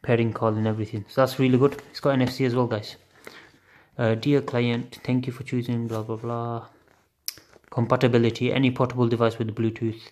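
A man chats his way through an unboxing in a flat, offhand voice, dropping the odd swear word as he thinks aloud. He is recorded on a phone held above a table at home late at night. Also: medium pitch, a little fast. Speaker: unboxing video host. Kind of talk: casual unboxing chat. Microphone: phone camera filming hands on a table.

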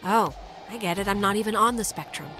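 A woman's voice: medium pitch, fast talker, fairly bright tone, soft background music, natural voice, rough recording. A woman with an American accent playing a wry, protective teenage girl, speaking casually in a naturalistic video game performance. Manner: dry, sardonic, conversational.

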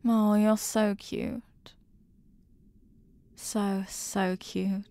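A woman's voice: mid-range voice, little pitch movement, very slow, dark tone, no echo. A woman playing a doting, intensely possessive girlfriend in an intimate ASMR roleplay, cooing tenderly with pet names. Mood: playful, affectionate.

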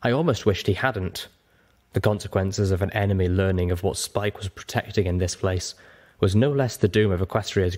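A man with a fairly low voice narrates an audiobook. His reading is expressive, with an animated pitch that moves around a lot. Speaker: audiobook reader. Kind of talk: expressive first-person story narration.

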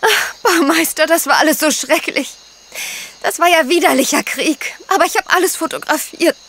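A woman's voice: high voice, moderate pace, a bit bright, a little hiss or hum. An actress playing an outspoken, agitated woman photographer in a crime radio drama, blunt and emotional.

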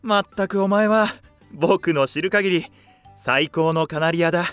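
Gentle, lightish-voiced window cleaner. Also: male voice, fairly high pitch, a little slow, clear, resonant tone, no echo, faint music behind. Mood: tender, fond. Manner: tender, fond. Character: gentle, affectionate bookish male window cleaner. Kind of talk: audio drama acting.

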